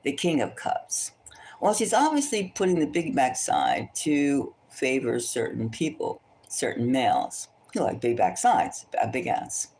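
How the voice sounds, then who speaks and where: A woman's voice: a bass voice, sing-song, unhurried, darkish tone, a little hiss or hum. A woman tarot reader chatting casually and thinking aloud, gossipy and opinionated, often rambling and repeating her phrases. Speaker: tarot reader hosting a celebrity psychic reading.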